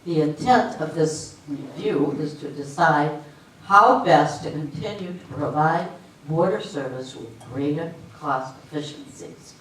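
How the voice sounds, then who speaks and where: A woman playing a reasonable, level-headed secretary with a calm, matter-of-fact delivery on stage. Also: deep voice, measured pace. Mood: calm, skeptical. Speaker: amateur stage actor. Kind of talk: readers theater stage performance. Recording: echoey hall, distant mic, faint noise.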